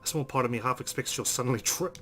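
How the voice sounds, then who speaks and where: A man's voice: mid-range voice, fast talker, bright, forceful tone. A man narrating a visual novel aloud as a let's player, reading it dramatically with brief asides.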